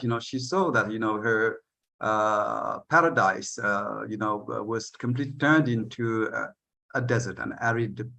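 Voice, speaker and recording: A man from northern Senegal speaking on a panel, hesitant, with many fillers and pauses to find his words. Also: medium pitch, a bit bright. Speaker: panel speaker, eco-village leader. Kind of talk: unscripted speech on a panel.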